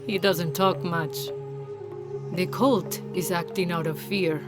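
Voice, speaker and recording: Wry, cryptic female merchant, lowish and unhurried, philosophical game character over loud music. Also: fairly even intonation. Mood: wry, knowing. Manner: slow, philosophical, teasing. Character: wry, sage woman outcast merchant, amused and cryptic. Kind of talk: video game cutscene acting.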